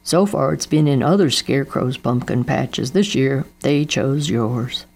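A woman's voice: very deep voice, unhurried, darkish tone, full character voice. A woman voicing a gloomy, resigned father scarecrow.

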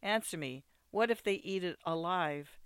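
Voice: medium pitch, normal speed, a bit bright, clean tone, no room sound, natural voice. A narrator voicing a distraught, headstrong young wife in a storybook, exclaiming, pleading and reproaching. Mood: indignant pity.

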